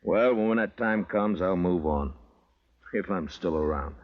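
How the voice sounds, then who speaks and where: An actor playing a stern, weary Old West marshal in a terse 1950s radio western style. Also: male voice, low voice, a little slow. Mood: weary, resolute. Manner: terse, laconic. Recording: muffled old radio recording.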